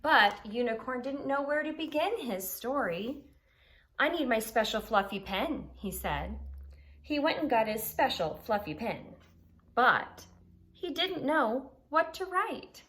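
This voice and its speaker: A woman's voice: high voice, very animated, takes it slowly, a bit bright. Woman teacher explaining and reading aloud. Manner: explanatory, repeats key points simply. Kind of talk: explaining an assignment and reading aloud to young pupils.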